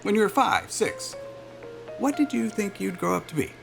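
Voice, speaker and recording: A male corporate interviewer in a naturalistic audio drama, affable and chatty. His pitch moves in lively swings over a creaky, gravelly edge. He sounds jovial and polished, with small hesitations, over faint music. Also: moderate pace, exaggerated voice. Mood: casual, probing.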